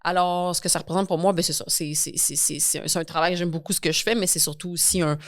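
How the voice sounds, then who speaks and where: A woman's voice: on the low side, steady tone, quick pace. A woman with a Quebec French accent answering as a guest in a conversational podcast interview, often repeating words as she searches for phrasing. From Quebec, Canada. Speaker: interview guest, newcomer reception coordinator.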